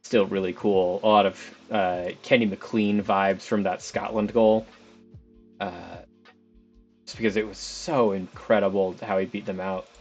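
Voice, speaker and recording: A man's voice: low voice, fairly even intonation, unhurried, a little mellow. A young man streaming a football management game, chatting casually and rambling as he talks to the players on screen. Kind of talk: casual live game commentary. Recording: slightly dull stream audio with background music.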